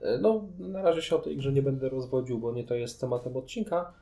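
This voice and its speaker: A man co-hosting a casual board game podcast, talking in an analytical, measured way with wordy phrasing. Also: medium pitch, gravelly, mellow. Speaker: podcast co-host. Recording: roomy, echoing room sound.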